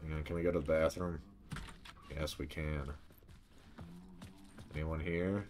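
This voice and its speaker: A man's voice: extremely low pitch, flat delivery, unhurried, a little mellow, faint music behind. A man giving casual reaction commentary as he streams a video game. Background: video game ambience.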